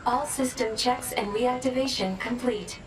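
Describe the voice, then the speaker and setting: A woman voicing a formal, dutiful robotic support unit in a video game, speaking in measured, emotionless phrases. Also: fairly high pitch, a little hiss or hum, lightly acted. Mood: clinical, flat. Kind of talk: scripted game status reporting. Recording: echoey, with music behind.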